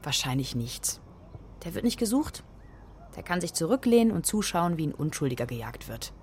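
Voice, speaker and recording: A woman's voice: fairly low pitch, very animated, moderate pace, a little mellow, slight background noise. An actress playing an outspoken woman planning with friends, in naturalistic radio drama dialogue. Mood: dry.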